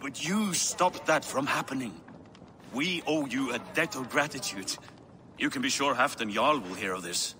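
A man's voice: medium pitch, moderate pace, sharp tone, lightly acted. An actor playing an earnest, grateful Norse nobleman in a video game drama. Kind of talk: dramatic game cutscene acting.

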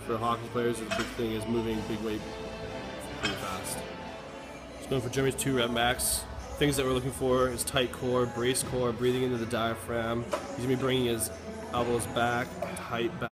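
A man's voice: mid-range voice, little pitch movement, moderate pace. A man working as a fitness coach, giving casual, plain-spoken instructional commentary on exercises. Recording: loud background music. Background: loud music.

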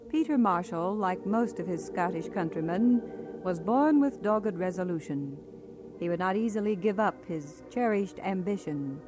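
A woman's voice: fairly low pitch, animated, normal speed. A woman narrating a radio drama in a scripted biographical style. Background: music.

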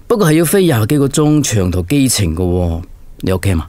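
A man's voice: on the low side, sing-song, a little fast, mellow, no room sound. An actor playing a considerate, persuasive adult son and father in a radio drama. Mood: persuasive, upbeat. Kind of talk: Cantonese radio drama acting.

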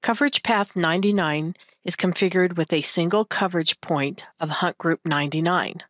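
A woman reading scripted narration for a technical demonstration in a measured, instructional manner. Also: lowish voice, a bit bright, dry recording, clean studio sound. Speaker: software tutorial narrator.

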